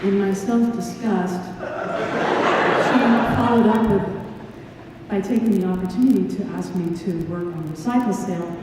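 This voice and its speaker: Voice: medium pitch, normal speed, a loud crowd. A person giving a eulogy at a memorial service in a church hall. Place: church hall, speaking to a gathering. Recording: very echoey hall, far mic, loud noise.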